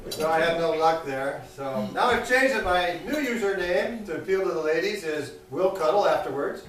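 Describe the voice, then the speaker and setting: A man performing an open-mic stand-up set at a small cafe, delivering deadpan, self-mocking one-liners to an audience that laughs. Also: on the high side, moderate pace. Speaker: stand-up comedian. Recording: echoey room recorded from a distance.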